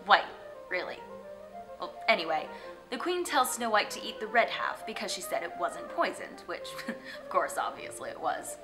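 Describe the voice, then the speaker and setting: A woman's voice: mid-range voice, big pitch swings, moderate pace, over music, poor audio. A woman hosting and narrating fairy tales, addressing viewers in a playful, theatrical way. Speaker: host of a fairy tale web show. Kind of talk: scripted storytelling narration to viewers.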